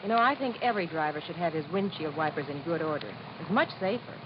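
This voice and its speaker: A woman's voice: low voice, expressive, quick pace. An actress playing a chatty policeman's wife in an old radio safety sketch, earnest and cheerfully instructive. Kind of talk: 1950s radio public service sketch. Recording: muffled old radio, loud noise behind.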